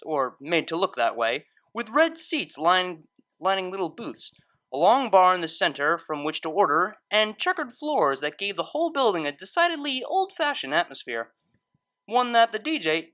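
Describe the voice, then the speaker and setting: A narrator reading a scripted story aloud in an expressive storytelling manner. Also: mid-range voice. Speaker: audiobook-style fan fiction reader.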